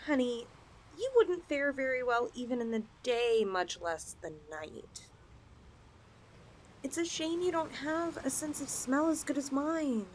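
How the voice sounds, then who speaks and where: A woman's voice: sits high, a little hiss or hum, rough recording. A woman voicing a possessive, flirtatious lamia in a roleplay ASMR style, coaxing her chosen mate with sweet condescension.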